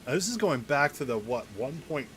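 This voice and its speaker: A man with a medium-pitched voice gives relaxed-format streamer commentary on a game's story. He is analytical and often pauses mid-thought. Faint noise sits behind his voice. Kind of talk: casual gameplay commentary.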